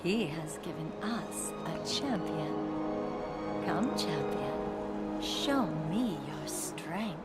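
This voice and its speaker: A seductive, worshipful temptress in a video game. She is languid and breathy, drawing words out extremely slowly with lively pitch, beneath loud music. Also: exaggerated voice. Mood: sultry reverence. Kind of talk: dramatic video game acting.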